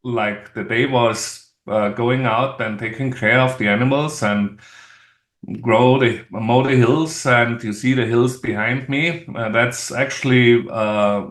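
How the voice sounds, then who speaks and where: A middle-aged man with an Austrian accent, a native German speaker, talking as an interview guest in a halting, explanatory way. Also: medium pitch, fairly even intonation, a bit bright. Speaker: podcast guest, engineer. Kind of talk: conversational interview. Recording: roomy, echoey sound.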